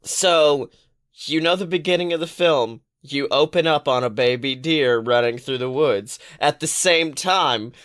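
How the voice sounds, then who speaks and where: A man co-hosting a podcast in casual comedic chat, telling stories excitably and playfully, with some swearing. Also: a little high, unhurried. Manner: excitable, playful storytelling with profanity. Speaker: podcast co-host.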